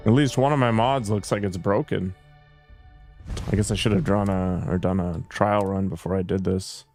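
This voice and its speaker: A man's voice: deep voice, steady tone, normal speed, a little mellow, faint music behind. A man streaming a video game, chatting casually and crudely with his viewers and poking fun at himself. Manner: joking, crude, self-mocking. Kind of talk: casual gameplay commentary to chat.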